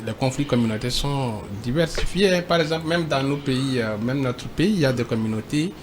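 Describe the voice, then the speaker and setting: A man speaking as a debate panelist, halting and repeating himself but emphatic. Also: medium pitch, a little hiss or hum. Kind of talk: debate commentary.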